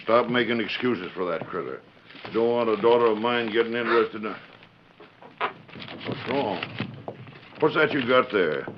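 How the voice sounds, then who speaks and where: A man's voice: on the low side, lively intonation, moderate pace, long pauses, lightly acted. An actor playing a crusty, blustering middle-aged sheriff and exasperated father in a muffled 1940s radio western. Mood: grumbling. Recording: muffled 1940s radio recording, faint noise.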